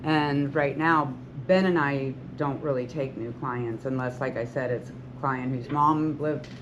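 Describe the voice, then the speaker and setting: A woman's voice: a bass voice, steady tone, unhurried. A woman in her sixties, a hairstylist and salon owner, talking earnestly to beauty students in an encouraging, rambling way with many restarts. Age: 60s. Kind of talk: talk to beauty school students. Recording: lo-fi with loud background noise.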